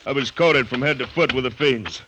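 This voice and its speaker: A man's voice: medium pitch, normal speed, loud music behind the voice, lightly acted. An actor playing a desperate man recounting an agonising attack, intense and breathless, in old American radio drama style. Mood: tense, haunted. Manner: intense, breathless first-person narration. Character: desperate man attacked by swarming ants, recounting his ordeal. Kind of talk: 1930s-1940s American radio drama acting. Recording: noisy old radio recording with clicks.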